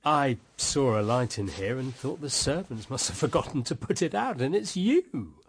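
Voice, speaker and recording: An actor with a British accent playing a weary, embittered husband in a radio drama, wry yet tenderly affectionate. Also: male voice, mid-range voice, sing-song, normal speed, slightly rough. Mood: tired, gentle. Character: weary, embittered husband, tender toward his young sister-in-law.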